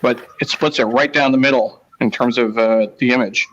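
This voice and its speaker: A man hosting a casual live show, reading things out in short, halting phrases with pauses between them. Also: mid-range voice, steady tone, fairly bright tone, lo-fi sound. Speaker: host of a live newspaper read-along.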